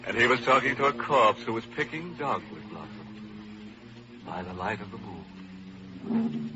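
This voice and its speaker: An actor playing a sly, mocking host of an old radio horror show, with a darkly jocular way of talking. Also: male voice, fairly low pitch, very animated, unhurried. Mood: gleefully macabre. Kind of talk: 1940s radio horror hosting. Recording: muffled, noisy vintage radio recording.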